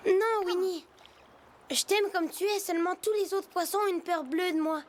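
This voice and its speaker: A woman voicing a timid, lonely young male whale in a cartoon, earnest and gentle. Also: very high voice, moderate pace, slight background noise. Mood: downcast, earnest. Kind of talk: animated film dubbing.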